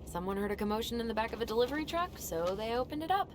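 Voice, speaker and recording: A woman's voice: on the high side, animated, racing, lightly acted. Actress as a teasing young American woman, noisy background. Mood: amused. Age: young adult. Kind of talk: naturalistic video game dialogue acting. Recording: game stream with clear background noise.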